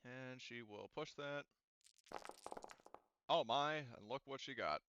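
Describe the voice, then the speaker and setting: A man's voice: mid-range voice, slow, fairly bright tone, muffled recording, a little hiss or hum. A man running a tabletop roleplaying game as game master, casually thinking aloud as he works through the dice results.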